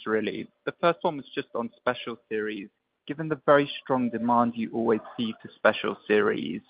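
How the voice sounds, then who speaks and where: A man's voice: medium pitch, dry recording. A man working as a financial analyst, putting polite prepared questions on an earnings call. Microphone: conference call line. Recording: dull, band-limited phone line.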